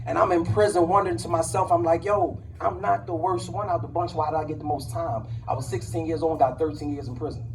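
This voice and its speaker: Guy in his 40s testifying, slangy and preachy, kinda high voice, far from the mic. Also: steady tone, quick pace, few pauses, noisy background. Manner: street slang, emphatic, preacherly. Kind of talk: impassioned personal testimony to a gathering. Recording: distant mic at a live event.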